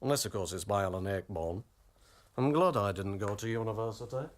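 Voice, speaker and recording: An actor in a comic radio play playing an exasperated police inspector who barks orders with officious, deadpan sarcasm. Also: male voice, fairly low pitch, brisk, close to an everyday voice. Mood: weary, grumbling.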